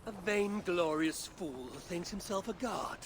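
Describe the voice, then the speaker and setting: A proud, commanding god, noisy surroundings. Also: female voice, on the low side, animated, unhurried. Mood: wry, taunting. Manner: wry, commanding, threatening. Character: proud battle-hardened god of Asgard in disguise, menacing. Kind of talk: video game cutscene acting. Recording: noisy game ambience behind.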